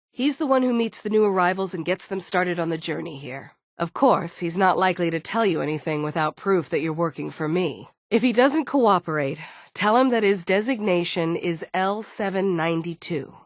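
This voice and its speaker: A woman's voice: lowish voice, no echo, studio quality. A woman voicing a stern, guarded android security chief in a video game, firm and businesslike. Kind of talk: scripted game dialogue.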